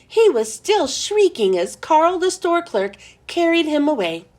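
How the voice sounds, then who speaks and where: A woman reads a children's chapter book aloud with a high, bright voice. Her pitch swoops up and down in an expressive, sing-song storytelling manner. She keeps a steady read-aloud style. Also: unhurried.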